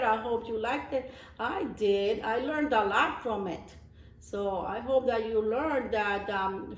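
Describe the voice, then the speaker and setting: A woman reading a storybook aloud as a teacher of young children, pausing for simple explanations and repeating phrases. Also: on the high side. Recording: roomy echo, distant microphone.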